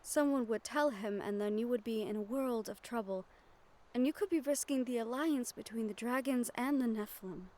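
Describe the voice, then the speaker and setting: A woman voicing a gentle, wistful young noblewoman in a romantic fantasy audio roleplay, speaking softly with formal, measured diction and tender pauses. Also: fairly high pitch, natural voice. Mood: wistful, regretful.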